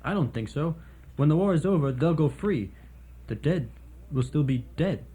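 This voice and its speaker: An actor playing a plain-spoken, stubborn working man with a husky voice in a radio drama. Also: fairly high pitch, expressive, normal speed, dark tone.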